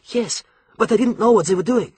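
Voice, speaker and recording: Frightened schoolboy prisoner voiced by a male reader, lifted pitch, husky and shaken. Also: a little fast, darkish tone, dry recording. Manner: startled and shaken.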